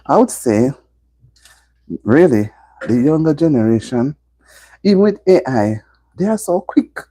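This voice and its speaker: Chatty Jamaican woman, lively pitch. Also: unhurried, dry recording. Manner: chatty, talks straight to viewers, repeats herself for emphasis. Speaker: livestream host. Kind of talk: casual live-stream chat.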